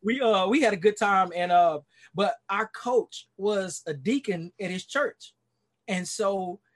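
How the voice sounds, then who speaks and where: A Texan man in his forties, a pastor speaking as a guest on a video call. His voice sits fairly high, and he tells his story in an earnest, rambling way with frequent fillers and restarts. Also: unhurried. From Dallas, Texas. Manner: earnest, rambling, many "you know"s and restarts. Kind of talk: casual interview storytelling.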